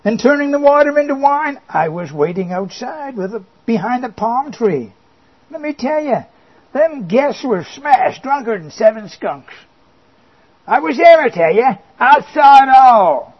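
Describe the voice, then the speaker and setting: A man's voice: very high-pitched, big pitch swings, unhurried, husky, exaggerated voice. A man voicing a boastful, mocking male devil who coaxes and sneers, with little chuckles. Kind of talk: comic monologue performance. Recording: dull lo-fi recording.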